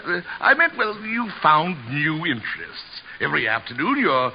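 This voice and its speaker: An actor playing a vain, hot-tempered old theater star in a radio comedy, grandiose and declamatory. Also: male voice, on the high side, big pitch swings, normal speed. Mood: placating. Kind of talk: old-time radio situation comedy acting. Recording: muffled, hissy old-time radio recording.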